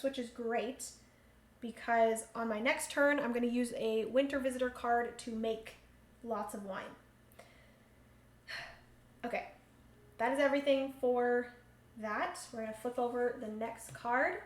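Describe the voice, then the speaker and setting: A woman's voice: fairly high pitch, fairly even intonation, unhurried, pauses often. A woman hosting a solo board game livestream, chatty, thinking aloud and laughing often. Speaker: host. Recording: roomy room echo, faint hum.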